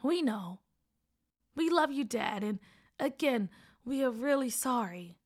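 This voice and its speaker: A woman's voice: on the high side, animated, a little slow. A woman voicing a spirited, eager young boy in a story, casual and exclamatory. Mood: apologetic.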